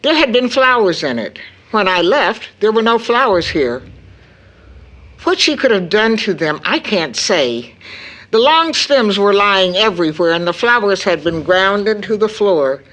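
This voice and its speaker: A woman playing a worried, caring mother in an audio drama, speaking in a measured, earnest way. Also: mid-range voice, sing-song, fairly bright tone. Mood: grave, troubled. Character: worried, caring mother recounting her daughter's breakdown.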